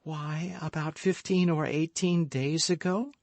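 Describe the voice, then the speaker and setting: A man's voice: fairly high pitch, fairly even intonation, unhurried, a little mellow, no room sound, pitched above the performer's own voice. A man voicing a frightened, lovestruck young maidservant in an audiobook, with a pleading, devoted manner. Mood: anxious. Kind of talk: audiobook character acting.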